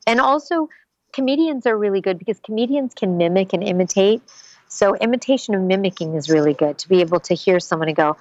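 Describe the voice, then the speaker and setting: A woman's voice: medium pitch, lively intonation, quick pace, no room sound, highs cut off. A middle-aged woman voice actress, chatting animatedly in an interview and slipping into little character voices. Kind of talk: casual interview talk.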